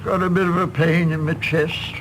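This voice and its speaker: A man's voice: a little high, little pitch movement, moderate pace, a bit bright, slight background noise, lightly acted, rough recording. An actor playing a frail, confused old uncle in a radio drama, faltering and querulous as he talks. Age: elderly.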